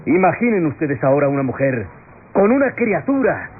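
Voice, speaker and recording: An actor playing an earnest defense lawyer pleading to a jury in impassioned, rhetorical radio drama style. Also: male voice, on the high side, very animated, moderate pace, breathy edge. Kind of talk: classic radio drama courtroom oratory. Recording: tinny, noisy old radio recording.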